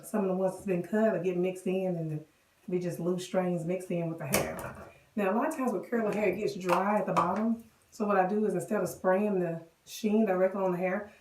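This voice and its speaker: A woman with a cold and a hoarse voice, chatting casually to viewers as she walks them through a wig styling tutorial. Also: mid-range voice, recorded from a distance, noisy background. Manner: chatty, addresses viewers directly. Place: bathroom. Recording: echoey bathroom, voice off-mic.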